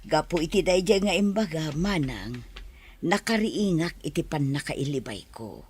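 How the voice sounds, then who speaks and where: A woman playing a repentant village woman in a radio drama, with a husky voice. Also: sits low, lively intonation, unhurried, darkish tone, heavily acted.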